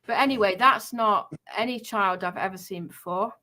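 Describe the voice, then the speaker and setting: Female, bright forceful voice at medium pitch, casual explanatory chat, halting, with repeated phrases.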